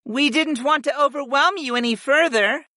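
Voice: high-pitched, moderate pace, bright, forceful tone, dry recording, clean studio sound, pitched above the performer's own voice. A narrator voicing female goddesses in a fantasy audiobook, murmuring, coaxing and pouting in a sultry way.

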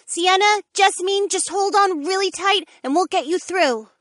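A man voicing a determined young mermaid woman, speaking earnestly in a high falsetto for an audiobook. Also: normal speed, sharp tone, no room sound, clean studio sound. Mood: urgent. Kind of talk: audiobook character voice.

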